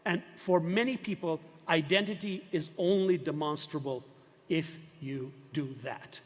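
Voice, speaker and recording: A man's voice: on the high side, measured pace. An older man giving a university lecture, discursive and emphatic, often asking rhetorical questions. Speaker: professor lecturing. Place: lecture hall. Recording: long hall reverb.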